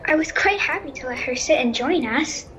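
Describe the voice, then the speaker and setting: Girl acting earnest, high-voiced young temple servant. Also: animated, normal speed, a bit bright. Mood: puzzled. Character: earnest, principled young girl serving a goddess. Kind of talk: radio-serial adventure acting.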